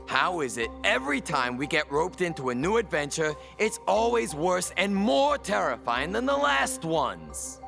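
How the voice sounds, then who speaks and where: A man's voice: high voice, very animated, unhurried, gravelly, hardly any pauses, over music, exaggerated voice. A man playing a sarcastic, exasperated adventurer sidekick with a wry delivery in a fantasy audio drama. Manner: wry, sardonic.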